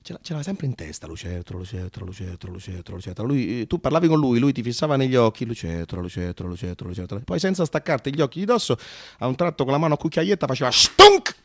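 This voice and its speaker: A man narrates a comic radio monologue in a medium-pitched voice, recorded close and dry. He performs theatrically, with halting repetitions, and slips into bits of acted dialogue. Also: lively intonation, fairly bright tone, dry recording. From Rome, Italy. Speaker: actor and narrator.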